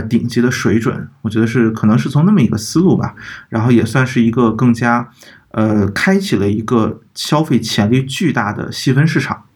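A man with a slightly husky voice and a middling pitch talks as a podcast co-host. He explains things in a measured way, qualifying his points as he goes in casual discussion. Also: darkish tone. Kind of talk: casual discussion of audio gear.

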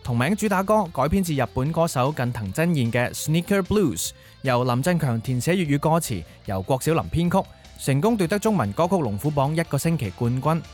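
A man with a somewhat high-pitched voice narrates a music history show from a script. Quiet music runs underneath his presentation. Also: sing-song, fairly quick. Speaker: music radio or podcast host.

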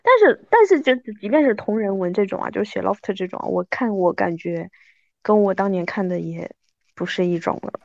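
A woman in her thirties talks casually as a podcast guest, with a self-deprecating, conversational manner. Her pitch is average, and the sound is dry and a little dull, like a lo-fi recording. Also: animated, fairly quick, vocal fry, no room sound. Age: 30s.

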